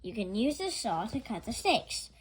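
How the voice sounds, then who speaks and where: A woman's voice: a little high, big pitch swings, normal speed, slightly rough, exaggerated voice, rough recording. Woman reading as a busy storybook lady of the house. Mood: instructing. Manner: polite, instructing. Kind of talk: children's storybook character voice.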